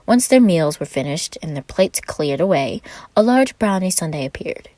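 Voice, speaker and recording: Low-voiced woman narrating a story. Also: animated, moderate pace, warm tone, no room sound. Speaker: podfic narrator. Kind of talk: expressive scripted story narration.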